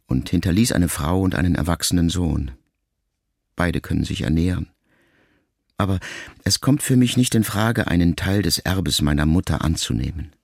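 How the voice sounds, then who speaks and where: An actor playing a wounded, reflective ageing son in an intimate radio drama monologue, speaking in a measured, gravelly voice. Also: male voice, extremely low pitch, a little mellow, dry recording, clean studio sound, full character voice. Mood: sober, resolute. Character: grieving ageing son addressing his dying mother, wounded, reflective.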